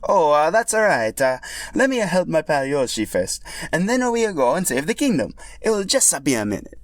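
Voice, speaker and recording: A man's voice: a little high, very animated, normal speed, no echo, voice pushed up. A man voicing a cheerful, good-natured male hero in a storybook tale, eager and a little apologetic. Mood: sheepish, upbeat.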